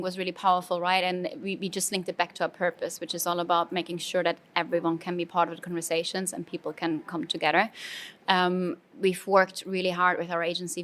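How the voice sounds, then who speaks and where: A woman's voice: fairly low pitch, fairly bright tone. A woman from Germany with a German accent, speaking as a guest in a conversational interview, thoughtful, with frequent fillers and restarts. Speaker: brand manager, interview guest. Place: conference venue.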